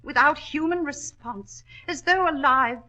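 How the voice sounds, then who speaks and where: An actress playing an anxious, determined widow who owns a mine, earnest and formal in a 1940s radio drama. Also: female voice, high-pitched, unhurried, sharp tone. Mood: haunted, grave.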